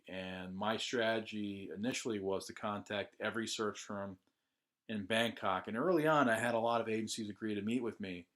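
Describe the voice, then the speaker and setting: A middle-aged American man with a slightly low voice shares his own experience in a relaxed, first-person way. He talks off the cuff with frequent "uh" and "um" pauses as he narrates over a screen recording. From United States. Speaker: job candidate sharing a testimonial. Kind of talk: casual first-person testimonial.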